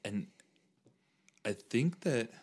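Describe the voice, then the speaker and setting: A young man hosting a casual podcast conversation, earnest and relaxed, saying "dude" and "like" a lot. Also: mid-range voice, drawn-out words, husky. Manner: earnest, uses "dude" and "like" often. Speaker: podcast host.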